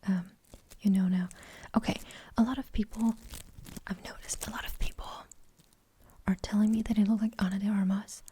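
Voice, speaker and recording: A woman's voice: medium pitch, little pitch movement, normal speed, mellow, breathy edge. A young woman from Germany with a light German accent, whispering a rambling, hesitant ASMR question-and-answer chat. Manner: rambling, hesitant, many fillers. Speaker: ASMR creator.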